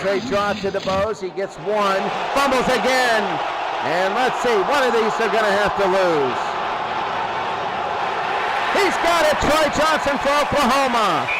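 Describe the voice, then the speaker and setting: A man's voice: sits high, a little slow, a loud crowd, heavy background noise. A man doing play-by-play football commentary in short, clipped calls, often repeating his phrases. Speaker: play-by-play announcer of a football game. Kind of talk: excitable sports play-by-play commentary.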